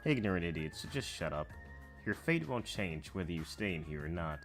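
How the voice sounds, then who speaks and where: A man's voice: low-pitched, very animated, normal speed, a bit bright, full character voice. A man playing an arrogant, cold, condescending young heir in an anime visual novel fan dub. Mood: cold contempt. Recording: music behind the voice.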